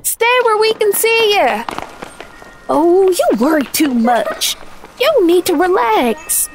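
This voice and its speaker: A woman's voice: very high voice, very animated, slow, full character voice. A woman in an audio drama playing a breezy, teasing young family's mother. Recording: faint ambient noise.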